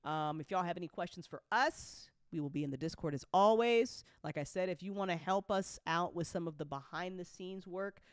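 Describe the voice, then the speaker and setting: A woman's voice: on the low side, brisk, hardly any pauses, clear, resonant tone, no echo. Informal, sweary woman host, close dry sound. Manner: animated, sweary, joking; informal speech with frequent y'all. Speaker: host and audio drama creator. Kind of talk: casual livestream chat answering audience questions.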